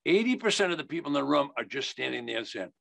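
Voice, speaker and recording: Gravelly, bright-voiced older American man. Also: mid-range voice, very animated, racing, no echo. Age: older, 70s. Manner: emphatic, folksy, repeats key phrases. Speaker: investing educator. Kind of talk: instructional sales talk. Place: indoors on a video call.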